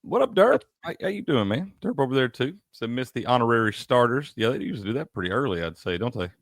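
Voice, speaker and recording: A relaxed male co-host with a mid-range voice and a dry, close sound. He talks in an easy drawl, tacking "man" onto the end of his lines. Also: medium pitch, quick pace, dry recording. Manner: laid-back, drawling, says "man" a lot. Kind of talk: casual live-stream chat.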